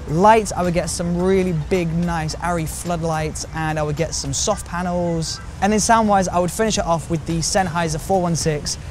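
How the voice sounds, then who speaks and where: A man with a slightly croaky voice from a cold, chattily and encouragingly answering viewer questions in a casual vlog. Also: a little high. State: recovering from a cold. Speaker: video creator host answering viewer questions. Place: outdoors in public urban spots.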